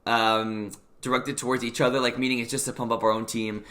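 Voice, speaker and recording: Bright-voiced young man, laid-back sports chat. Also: medium pitch, fairly quick. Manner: relaxed, hesitant with many fillers. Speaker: co-host. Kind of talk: casual sports recap chat.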